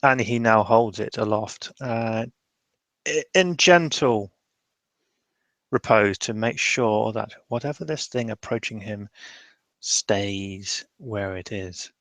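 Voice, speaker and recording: A man improvising narration for a tabletop roleplay, describing things in a measured way. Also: on the low side, a bit bright, no echo.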